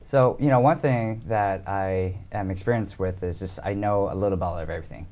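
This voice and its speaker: A man in his thirties from the United States, talking casually as an interview guest, relaxed with many ums and easy laughs. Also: low voice, fairly quick.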